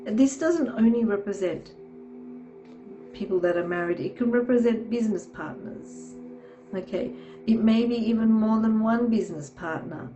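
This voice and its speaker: A woman giving a tarot reading, hoarse from a sore throat, talking haltingly with many fillers and frequent "okay"s. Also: a little high, mellow, soft background music, poor audio. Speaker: tarot reader.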